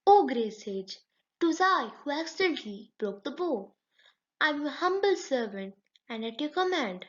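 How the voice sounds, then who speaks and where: A calm and humble storybook prince, read by a woman, gently firm and formal. The voice is fairly high, with a slightly dull, lo-fi sound. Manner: polite, measured, formal. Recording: dull, lo-fi recording.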